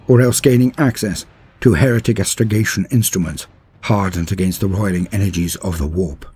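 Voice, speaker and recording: Husky low-voiced man narrating dramatically. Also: warm tone. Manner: measured, theatrical, emphatic delivery with drawn-out words. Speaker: lore narrator. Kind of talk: dramatic scripted narration of war fantasy lore. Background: music.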